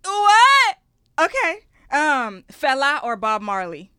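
A woman's voice: high-pitched, wildly swooping pitch, pushed and bright, no room sound, clean studio sound. A young woman hosting a casual podcast chat, playful while quizzing her guest and reflective when telling her own story. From Houston, Texas, raised in Ghana. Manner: playful, quick quiz questions, then reflective storytelling. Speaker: host.

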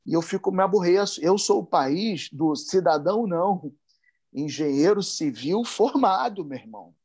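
Carioca man, fairly high voice, impassioned speaker, slangy and emphatic. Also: expressive, moderate pace, darkish tone, husky. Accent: Carioca Portuguese. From Brazil. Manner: emphatic, rising and speeding up when worked up, slangy asides. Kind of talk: impassioned talk.

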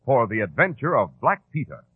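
A man's voice: medium pitch, very animated, a little fast. An American man announcing and hosting a scripted 1940s radio programme. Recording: muffled old radio broadcast with organ music. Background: organ music.

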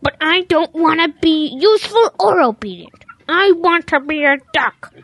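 A woman voicing a stubborn, dejected young cow who insists on being a duck, childlike and halting, in an audio drama. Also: very high voice, animated, fairly bright tone, no echo, heavily acted. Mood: stubborn, pleading. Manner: halting, stammering, self-correcting.

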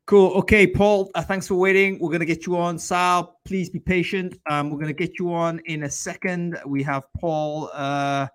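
A man's voice: a little high, steady tone, moderate pace, hardly any pauses, a bit bright, clean tone. A man hosting a live online show, talking in a casual, enthusiastic way with many fillers and restarts. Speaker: host of a live recruiting show. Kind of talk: casual live-stream chat.

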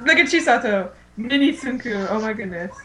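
Giggly woman with lively, highish pitch. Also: brisk, slight background noise, poor audio. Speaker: fan podcast co-host. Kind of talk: casual fan chat.

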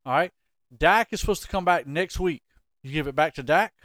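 Guy on a sports podcast, kinda high voice, super clean and dry sound. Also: brisk, no room sound. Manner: animated, jokey, plays the interviewer. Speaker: podcast co-host. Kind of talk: casual sports chat.

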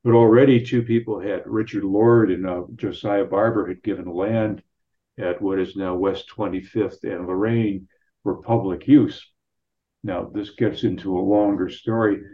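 An American man, a history professor, explaining informally in a halting, digressive way on slightly muffled audio. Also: lowish voice, fairly even intonation. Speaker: history professor, radio guest.

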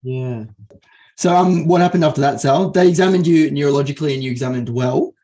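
An interviewer with a dark, mellow tone. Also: mid-range voice, fairly quick. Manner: brief prompting questions.

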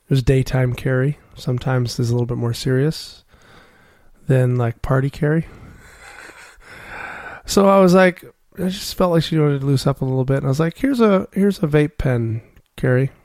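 A podcast host in a loose, giggly, rambling chat while mildly high. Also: normal speed, a little mellow. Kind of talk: casual chat.

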